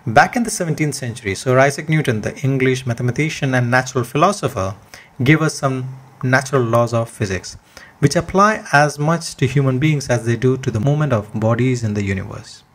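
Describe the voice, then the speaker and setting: A man with a husky voice reading a motivational speech aloud in an earnest, emphatic, deliberately phrased way. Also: mid-range voice, expressive, a bit bright. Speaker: reader of a self-help speech.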